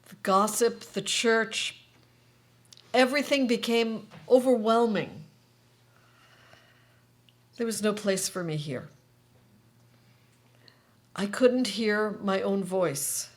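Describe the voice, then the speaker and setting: A middle-aged mother who carries an old regret, read by an actress in a staged reading. She speaks slowly and with care, in a middle-pitched voice, as if weighing each word. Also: lightly acted. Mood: reflective. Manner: measured, slow, reflective delivery.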